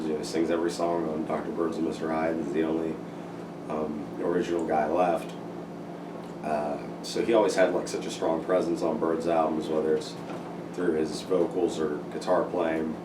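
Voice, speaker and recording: A man giving a casual, rambling album review full of ums and likes, his voice still recovering after losing it. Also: deep voice. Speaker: music reviewer. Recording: echoey room, distant mic, noisy.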